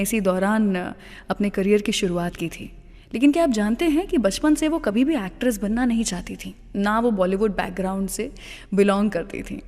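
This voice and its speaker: A woman's voice: mid-range voice, darkish tone. An Indian woman presenting a radio show in a chatty way, speaking straight to her listeners and slipping between two languages. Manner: chatty, addresses listeners directly; code-switches between Hindi and English. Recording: long reverb on the voice.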